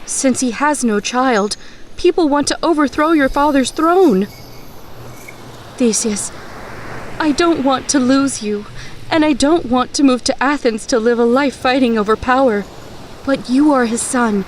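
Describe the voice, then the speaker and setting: A woman voicing a loving, worried mother in an animated story, speaking tenderly and solemnly. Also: sits high, measured pace, slight background noise. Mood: earnest. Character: loving mother of a young hero, worried, wise.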